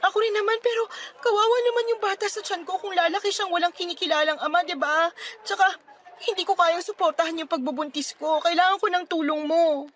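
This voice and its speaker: A woman's voice: very high-pitched, fairly even intonation, fairly quick. A woman playing a hurt, pregnant young woman confronting her friend in an emotional Filipino radio drama. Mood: tearful, pleading. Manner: emotional, pleading and reproachful. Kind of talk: radio drama acting in Tagalog.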